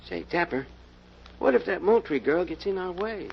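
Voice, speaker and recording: An actor in an old-time radio mystery playing a nervous, reluctant small-time crook who stammers and speaks in ungrammatical slang with a creaky voice. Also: male voice, high-pitched, animated, normal speed. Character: nervous, reluctant, dim male crook, the sidekick. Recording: dull, noisy old radio recording.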